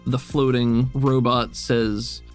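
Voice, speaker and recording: A man's voice: mid-range voice, flat delivery, slow pace, soft background music. A man working as game master, casually improvising narration and rulings for a tabletop game.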